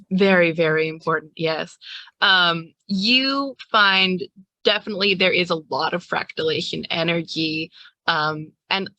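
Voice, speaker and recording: Female game master, casual and giggly, bright forceful voice, dry close mic. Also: mid-range voice, dry recording, low-quality recording. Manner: giggly, informal. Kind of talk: casual tabletop game narration.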